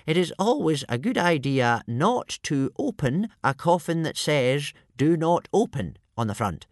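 Storybook role: man putting on a high, thin, very old clan elder, pedantic and wise, dry close sound. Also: no echo.

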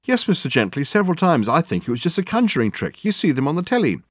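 A man voicing a long-suffering, blunt female secretary in an audiobook, speaking in a deadpan, colloquial, matter-of-fact way. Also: fairly high pitch, quick pace, no room sound, studio quality, pitched above the performer's own voice. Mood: flatly dismissive. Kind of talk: acted audiobook dialogue.

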